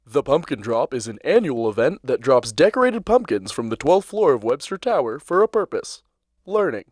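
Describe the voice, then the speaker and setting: A man's voice: medium pitch, very animated, normal speed, slight background noise. A young man reporting as a student TV journalist, reading scripted news with an emphatic broadcast delivery. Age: young adult.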